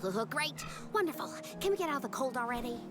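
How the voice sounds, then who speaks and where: An actress voicing a sulky, sarcastic young companion character in a video game. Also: female voice, high-pitched, very animated, quick pace. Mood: sarcastic, impatient. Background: game music.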